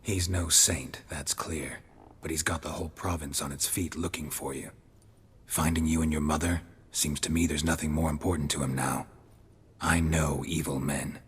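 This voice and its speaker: An actor with an American accent playing a stoic, gruff monster hunter, terse and deadpan, with a raspy voice, in a video game. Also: male voice, rumbling low, normal speed, big-room sound, slight background noise. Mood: measured, insistent. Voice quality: very gravelly, husky rasp.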